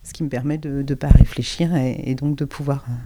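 A woman in her forties speaking calmly and thoughtfully about knitting. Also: very deep voice, brisk, noisy background. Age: 40s. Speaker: knitter sharing her experience. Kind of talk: casual chat. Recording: instrument music behind.

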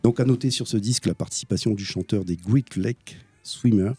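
A low-pitched man whose voice is dark and slightly husky, presenting music in a relaxed radio chat. He talks hesitantly, with many fillers and restarts. The sound is dry and close, with faint music behind him. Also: a little fast, no room sound. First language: French.

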